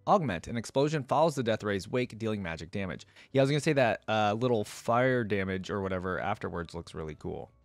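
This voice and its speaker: A man's voice: fairly low pitch, animated, fairly quick, hardly any pauses, no room sound, faint music behind. A young adult man gives casual, conversational game reaction commentary, thinking aloud into a close microphone. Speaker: reaction video host. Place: small indoor room at a desk. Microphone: close desk microphone with headset worn.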